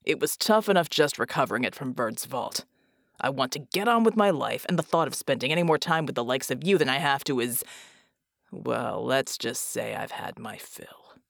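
A woman voicing a world-weary male mercenary who talks bluntly in a low, gravelly drawl. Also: animated, normal speed, no echo, studio quality, full character voice. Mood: gruff.

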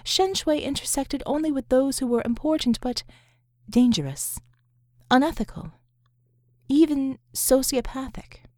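A woman reading dramatic fiction as an audiobook narrator, in a measured, deliberate way. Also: high-pitched, expressive, mellow, no room sound, studio quality. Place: close, dry recording space.

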